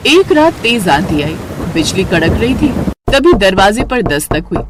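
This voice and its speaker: A woman's voice: medium pitch, sing-song, measured pace, noisy background. A woman narrator reading a scripted story in a storytelling style.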